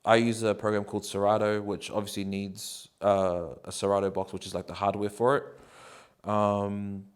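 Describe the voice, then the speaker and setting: A man, answering interview questions in a relaxed, rambling way with many ums and likes. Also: fairly low pitch, normal speed, clean tone. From New Zealand. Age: 30s.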